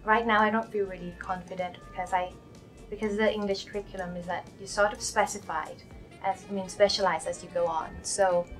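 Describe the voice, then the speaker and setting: A woman's voice: mid-range voice, steady tone, brisk, soft background music. A young woman from Thailand, a native Thai speaker, speaking hesitantly as a first-year student in a documentary interview. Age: young adult.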